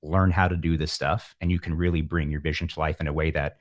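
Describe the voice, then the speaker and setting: A man's voice: very deep voice, flat delivery, quick pace, no echo, studio quality. A man speaking as a musician guest in an interview, reflective and earnest, taking discursive, self-deprecating detours as he explains himself.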